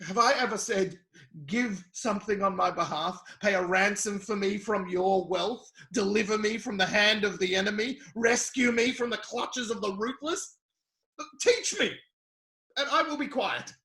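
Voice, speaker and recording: An actor playing an anguished sufferer who pleads bitterly with God and friends in an epic, halting, impassioned dramatic scripture reading. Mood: indignant pleading. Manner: impassioned, halting, swinging from low murmurs to anguished shouts. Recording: roomy, echoing space.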